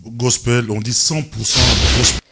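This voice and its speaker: A man's voice: mid-range voice, a little slow, very noisy. A man introducing a gospel programme in an announcing manner. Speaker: programme host. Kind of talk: show introduction. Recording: voice under loud music.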